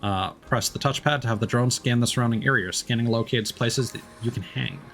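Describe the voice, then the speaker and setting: Mid-pitched male game streamer over faint music. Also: a little fast, a bit bright. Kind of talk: live let's play, reading game text aloud and commenting.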